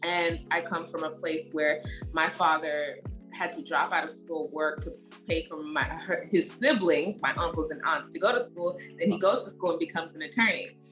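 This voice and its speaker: A young woman from Haiti, answering interview questions in a measured, thoughtful way with frequent pauses. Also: low-pitched, fairly bright tone, soft background music, poor audio. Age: young adult. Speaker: interview guest.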